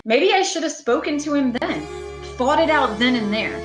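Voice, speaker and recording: Woman acting a high-voiced, haunted woman driver. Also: expressive, moderate pace. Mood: haunted dread. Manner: urgent, pleading, dramatic. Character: frightened, desperate young lady driver haunted by a phantom. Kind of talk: radio thriller acting with dramatic narration. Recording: music behind the voice.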